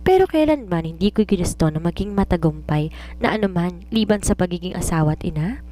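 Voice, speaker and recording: Woman acting doting widowed mother, storybook, lowish voice, nagging, affectionate, Tagalog-English mix. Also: expressive, fairly quick, dark tone, natural voice. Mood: indignant. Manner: Taglish, scolding yet affectionate.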